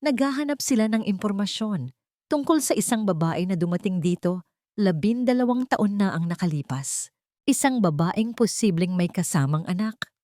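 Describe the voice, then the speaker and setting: A woman's voice: mid-range voice, lively intonation, moderate pace, warm tone, no room sound, studio quality, lightly acted. A woman playing an urgent, deferential security chief in a narrated story.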